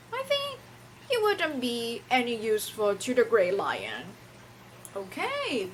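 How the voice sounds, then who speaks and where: A woman reads aloud to children in a high, hugely varied voice. She is a non-native English speaker with a theatrical, teacherly manner, taking her time and putting questions to her listeners. There is some faint noise behind her. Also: a bit bright, rough recording. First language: not English. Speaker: storyteller reading a picture book to children.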